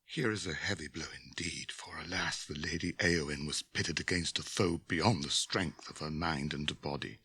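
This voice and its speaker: A man's voice: rumbling low, moderate pace, few pauses, bright, forceful tone, breathy edge, dry recording, exaggerated voice. An actor playing a grave, solemn returned king and healer in a 1980s radio drama. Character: noble kingly male healer and returned king.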